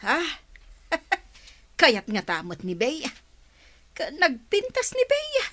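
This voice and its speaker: A woman's voice: high-pitched, wildly swooping pitch, takes it slowly, pushed and bright, exaggerated voice. An actress playing a gossipy, mischievously teasing woman in a radio drama, speaking with animation.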